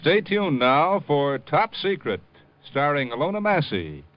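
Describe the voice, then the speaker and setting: A man's voice: on the high side, very animated, a little slow. A man working as a 1950s radio announcer, reading scripted credits. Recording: muffled old 1950 radio broadcast with music.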